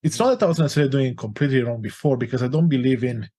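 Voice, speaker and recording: A man's voice: mid-range voice, very fast, warm tone. A forty-year-old man from Romania, a life coach telling his life story in an interview, earnest and open. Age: 40s.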